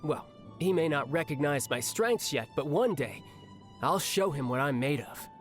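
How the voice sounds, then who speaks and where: A man's voice: fairly high pitch, lively intonation, moderate pace, soft background music, lightly acted. A man voicing a cheerful, battle-hungry young warrior in a video game, breezy, confident and theatrical with a playfully menacing edge. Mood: excited, cocky. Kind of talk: scripted video game character voice lines.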